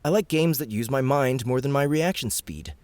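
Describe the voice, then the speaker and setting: Male narrator as cheeky young villain gamer, audiobook; excitable, pitched up, dry studio sound. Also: moderate pace, no echo, lightly acted. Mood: defensive. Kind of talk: audiobook character acting.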